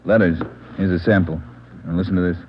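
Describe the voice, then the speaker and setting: Male actor as brusque American police boss, low voice, impatient, tinny vintage radio sound. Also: steady tone, a little fast, a little hiss or hum, lightly acted. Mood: grim. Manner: brusque, forceful orders. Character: gruff, impatient, demanding male chief of detectives. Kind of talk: 1940s-50s radio police drama acting. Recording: tinny old radio recording.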